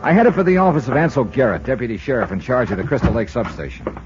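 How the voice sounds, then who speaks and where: A man's voice: medium pitch, lively intonation, quick pace, lightly acted. An American man acting a dry, tough insurance investigator who narrates his case in a clipped, wry way, in 1950s radio drama style. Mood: wry, brisk. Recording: muffled vintage radio recording, music behind.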